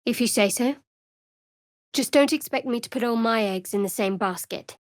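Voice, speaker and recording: An actress playing a guarded, secretive young cleric of a dark goddess in a video game, with a measured, dry delivery. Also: female voice, a little high, dry recording, close to an everyday voice. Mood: dry, curt.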